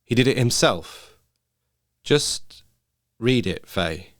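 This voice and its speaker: A man voicing a troubled, gentle woman, an anxious mother who speaks softly and hesitantly, in a story reading. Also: medium pitch, animated, takes it slowly, dry recording, clean studio sound. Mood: subdued.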